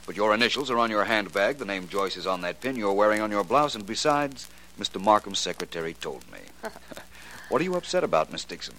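Suave gentleman sleuth, lowish lively voice, dry wit, faint music in the background. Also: a little fast, low-quality recording. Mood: urbane. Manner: polished, wry, deductive. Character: suave, urbane male detective. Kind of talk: 1940s radio acting.

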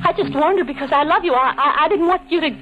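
A woman's voice: high-pitched, quick pace, natural voice. An actress playing a terrified young gangster's girl in 1940s radio melodrama, frantic and stammering as she begs. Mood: desperate pleading. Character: terrified, soft young moll begging for life. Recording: muffled old radio recording with music.

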